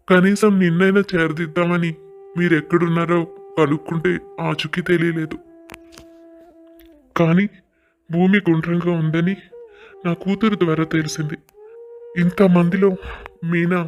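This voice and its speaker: A man's voice: on the high side, natural voice. A man playing a sorrowful, earnest older father in a scripted audio story drama. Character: sorrowful older father revealing a long-hidden truth.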